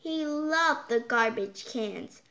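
Child storytime reader, very slow pace, halting, exclaiming, picture book read-aloud. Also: medium pitch, animated, sharp tone, lo-fi sound. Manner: halting, stumbling over words, exclaiming. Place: indoors, hands holding book before the camera.

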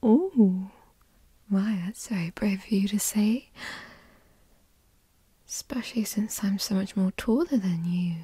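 Woman acting a slow, breathy whispering teasing girlfriend. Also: medium pitch, dark tone, dry recording. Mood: smug, playful.

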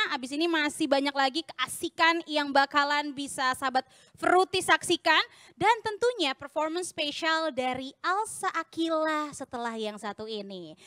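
A young woman hosts a live show from a studio stage. Her voice sits very high and bright, with a close, dry sound. She talks in a playful, chatty way and mixes English phrases into her hosting. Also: dry recording. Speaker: host.

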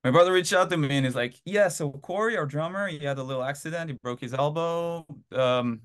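A man's voice: on the high side, a little fast, smooth. A man in his thirties from Israel, telling his story in a casual interview over a video call from his home, rambling with lots of fillers. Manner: rambling, animated anecdotes with many fillers. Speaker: band drummer, guest. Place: home room.

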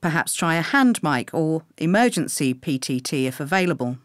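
A woman reading scripted instructional narration for pilot training in a measured, clearly enunciated way. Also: deep voice, lively intonation, dry recording, studio quality.

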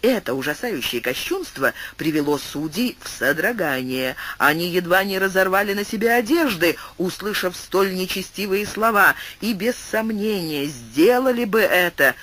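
A man's voice: high voice, sing-song, moderate pace, few pauses, pushed and bright. A man narrating a classic tale for an audiobook, reading expressively. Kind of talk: scripted narration.

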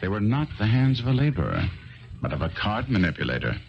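Confident detective in old radio play, animated, articulate, probing, dull vintage sound. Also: male voice, fairly low pitch, quick pace, creaky. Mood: shrewd, explaining. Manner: articulate, polite, assured. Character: confident, shrewd gentleman detective, smooth and probing. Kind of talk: 1970s radio drama acting. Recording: dull 1970s radio recording.

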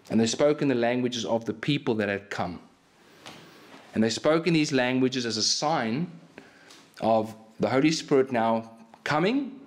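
A man preaches a sermon in a small church hall. His is Afrikaans, and he talks animatedly into a stand microphone. His thoughts tumble out with frequent restarts. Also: medium pitch. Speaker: pastor. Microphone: stand mic in front of him.

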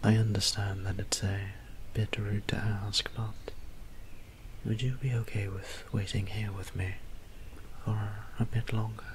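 A man playing a gentle, doting young vampire priest in an ASMR roleplay, speaking softly and half-whispering in polite, old-fashioned phrases. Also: on the low side, unhurried, natural voice. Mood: apologetic, gentle. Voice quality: soft, breathy, half-whispered. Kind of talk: soft-spoken ASMR roleplay. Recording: steady background ambience noise.

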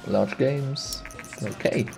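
A man's voice: mid-range voice, very slow, vocal fry, over music. A man with a slight sniffly cold, casually commenting on a game he plays and thinking aloud as he goes. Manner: thinks aloud, muses and repeats himself. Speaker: let's play host.

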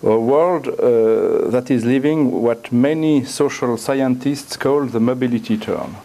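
A middle-aged man with a French accent, giving an academic lecture at a lectern in a university room, often halting and repeating words as he looks for the phrasing. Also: mid-range voice, gravelly, mellow. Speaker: guest lecturer.

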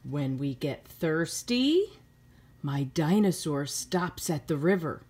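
A woman's voice: low-pitched, animated, takes it slowly, a little mellow, slightly rough. A middle-aged woman reading a children's picture book aloud expressively, with simple phrasing for young listeners.

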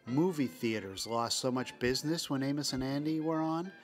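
A man's voice: medium pitch, normal speed. A man delivering scripted documentary narration as the host. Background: music.

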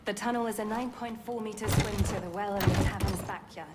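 An actress as a wry British resistance agent, noisy backdrop. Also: female voice, mid-range voice, fairly even intonation, natural voice. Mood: brisk, affectionate. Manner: brisk, dry, cheeky, affectionate with slang. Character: wry, foul-mouthed British female resistance agent posing as nurse. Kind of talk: video game cutscene acting.

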